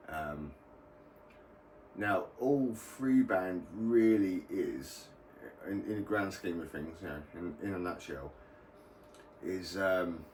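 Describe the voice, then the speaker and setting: A British man giving a casual, rambling explainer as the host of a hobby video channel. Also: low voice. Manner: informal, rambling. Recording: roomy, distant mic, faint background noise.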